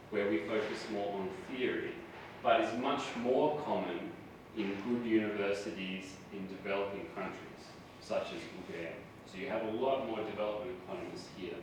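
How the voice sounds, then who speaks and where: A man with an Australian accent giving a university lecture, chatty and self-deprecating, often asking the audience questions. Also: on the low side. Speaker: guest lecturer. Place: large lecture hall. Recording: echoey hall, voice from far off, noisy.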